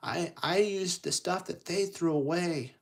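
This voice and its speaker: A storybook Cinderella, played by a man, pitched up from his normal talk. She is a young, earnest and wistful heroine, polite and pleading, who repeats herself in wide-eyed wonder. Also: unhurried. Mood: tearful wish.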